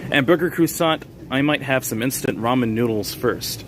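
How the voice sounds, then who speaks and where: A man's voice: mid-range voice, moderate pace, a little hiss or hum. Chatty man livestreaming in a grocery store. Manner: chatty, reads chat names aloud, frequent fillers and small laughs. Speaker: livestream host. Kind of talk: casual live-stream chat while shopping.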